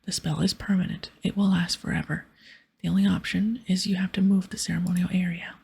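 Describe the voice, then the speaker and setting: Woman whispering as a breathy, scheming cursed game wizard. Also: lowish voice, flat delivery, a little fast, a little mellow, exaggerated voice. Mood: explaining. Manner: pleading, then dryly explanatory. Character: scheming male wizard cursed into an ogre, pleading, self-justifying.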